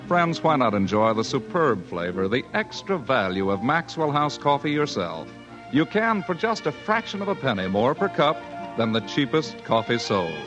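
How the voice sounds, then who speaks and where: A man working as a radio announcer, reading a 1940s advertisement as a smooth sales pitch. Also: sing-song, moderate pace. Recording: muffled old radio recording. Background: music under the voice.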